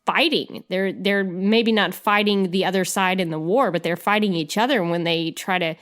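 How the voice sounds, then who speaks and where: A woman's voice: mid-range voice, normal speed, a bit bright. A woman co-hosting a podcast, discussing film casually and somewhat hesitantly as she thinks aloud. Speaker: podcast co-host.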